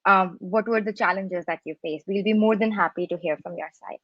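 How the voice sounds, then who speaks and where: A woman's voice: mid-range voice, a little fast, dry recording. A young woman in her twenties hosting a panel interview on a video call, friendly, with some "um" and "like". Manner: friendly, scripted introductions, uses "um" and "like". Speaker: host, panel moderator. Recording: dry muffled video call audio.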